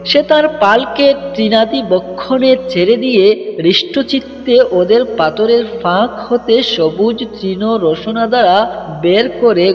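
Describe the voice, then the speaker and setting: A man narrating a scripted religious story in a formal, ornate, declamatory literary manner. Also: high voice. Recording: dull lo-fi, long reverb, music underneath. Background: music.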